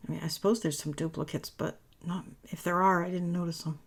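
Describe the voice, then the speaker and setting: A woman with a raspy, worn-out voice, chatting her way through a papercraft tutorial voice-over in a rambling, self-correcting way. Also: lowish voice, steady tone, a little fast. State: voice worn from talking all day.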